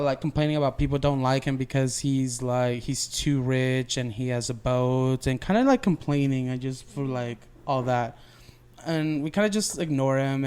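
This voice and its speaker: Casting: male podcast co-host, smooth clear voice, casual slangy storyteller who rambles. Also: medium pitch, a little mellow. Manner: rambling, slangy, frequent "like" and "you know".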